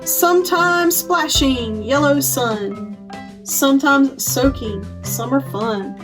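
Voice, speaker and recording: High-pitched woman reading to children, slowly. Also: over music. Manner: simple, clearly phrased explaining for young children. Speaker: children's librarian, storytime host. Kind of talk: children's storytime talk and picture-book read-aloud.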